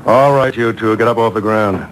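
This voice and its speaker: A man's voice: fairly low pitch, moderate pace, background noise, natural voice. An actor playing a curt, impatient, no-nonsense policeman in a 1940s-style radio drama, barking his words. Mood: gruff, irritated.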